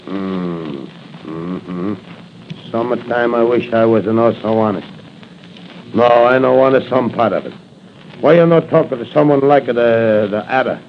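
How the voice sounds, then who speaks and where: A man's voice: medium pitch, measured pace, pauses often, lightly acted. A man playing a hypocritical, self-pitying crime boss posing as a businessman in 1940s radio drama style. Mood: indignant. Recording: noisy, muffled 1940s radio recording.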